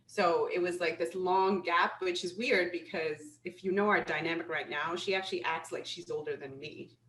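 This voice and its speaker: A woman being interviewed as a guest, telling lively stories and laughing often. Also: on the low side, moderate pace. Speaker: guest, business attorney. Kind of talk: casual interview chat. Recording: roomy with faint ambience.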